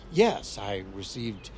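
A man playing an awkward, evasive army corporal in an audio drama, hesitant and gently dismissive. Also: fairly low pitch, huge pitch swings, drawn-out words, a little hiss or hum, full character voice. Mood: amused, deflecting.

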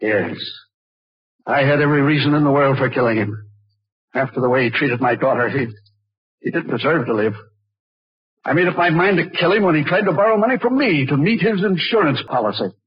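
A man's voice: on the high side, animated, brisk, husky, no echo. A man acting a bitter, resigned older father-in-law confessing with aggrieved deliberation in a 1940s radio drama. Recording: restored old radio, muffled and dry.

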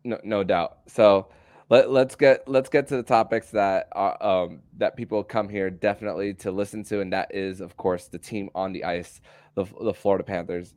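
A man with a fairly low voice hosts a casual sports podcast in a dry, close sound. His delivery is halting, with repeated words and restarted phrases as he works toward his point. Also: steady tone, darkish tone, dry recording. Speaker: podcast host interviewing a guest.

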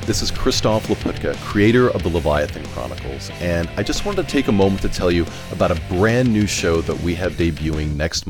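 Male podcast host, enthusiastic scripted announcer delivery, highly varied intonation, very dry close-miked sound. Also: dry recording.

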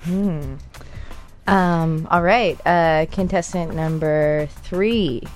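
A woman asking dating-game contestants questions in a casual, hesitant way with many pauses. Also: deep voice, soft background music. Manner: hesitant, lots of ums.

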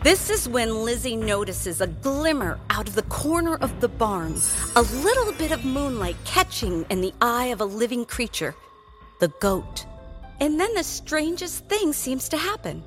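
Lively, suspenseful woman storyteller. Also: a little high, a little slow, faint music behind. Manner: suspenseful, emphatic. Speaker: narrator. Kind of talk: scripted dramatic storytelling for children.

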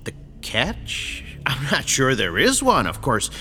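An actor playing a courteous, faintly sinister landlord in an audio drama, formal, measured and evasive. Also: male voice, mid-range voice, huge pitch swings, bright, forceful tone, faint music behind, heavily acted. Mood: smoothly evasive. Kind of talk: modern audio drama acting.

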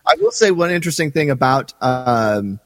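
A man co-hosts a casual podcast at middle pitch. He explains things haltingly, circling toward his point, close and dry but with a lingering reverb tail. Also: expressive, fairly bright tone. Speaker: podcast co-host. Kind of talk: casual discussion of a radio drama.

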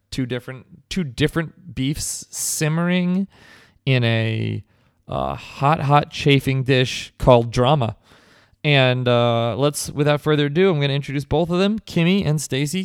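Guy hosting a comedy podcast, deadpan and rambly, smooth voice, dry close sound. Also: mid-range voice, dry recording. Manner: mock-earnest, rambling, deadpan. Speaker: podcast host.

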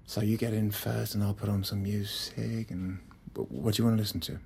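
A man in middle age playing an earnest, flustered husband in a naturalistic audio drama, halting and self-deprecating as he pleads. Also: on the low side, flat delivery, creaky, warm tone, husky, exaggerated voice. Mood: earnest, hopeful. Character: earnest, flustered husband trying hard at romance.

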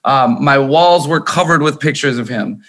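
A man's voice: mid-range voice, moderate pace. A man in his thirties from Minnesota, a musician talking candidly and emphatically in an interview, swearing freely. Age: 30s. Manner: animated, emphatic, swears often. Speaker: guest musician.

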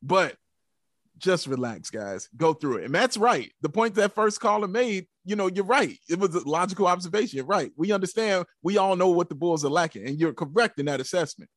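A man's voice: high voice, expressive, fairly quick, no echo, studio quality. A man co-hosting a sports podcast in casual fan talk, preaching emphatically with rhetorical questions and repeated catchphrases.

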